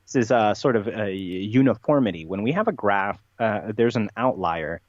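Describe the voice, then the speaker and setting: Man, lively swooping delivery, opinionated podcast talker, slightly muffled sound. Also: lowish voice, normal speed. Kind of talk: conversational podcast discussion. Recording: dull, roomy, echoey podcast audio.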